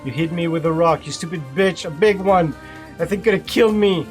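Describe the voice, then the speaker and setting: A man's voice: a little high, fairly even intonation, normal speed, soft background music, voice pushed up, close to an everyday voice. A man voicing a cruel young village bully with a sneering, taunting delivery. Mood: sneering angry.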